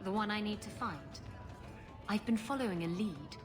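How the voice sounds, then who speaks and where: An actress playing a confident, roguish treasure hunter in a video game, talking in a breezy, casual way. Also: female voice, mid-range voice, moderate pace, natural voice. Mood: eager. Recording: music behind.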